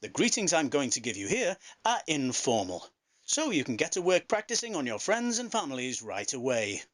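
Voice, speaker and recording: A man reads a scripted lesson explanation in a clear, explanatory way. His voice sits fairly high, and his pitch swings widely and expressively from phrase to phrase. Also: sing-song, normal speed, a bit bright. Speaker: lesson narrator. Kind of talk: scripted narration.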